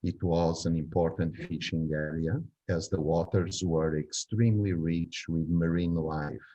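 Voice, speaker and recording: A man in his sixties from Angola, whose first language is Portuguese, reads from his life story. His voice is very low and dark, and he takes his time. The sound is a little dull and lo-fi. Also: fairly even intonation, a little slow. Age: 60s. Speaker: guest reading from his own story. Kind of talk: reading a memoir aloud.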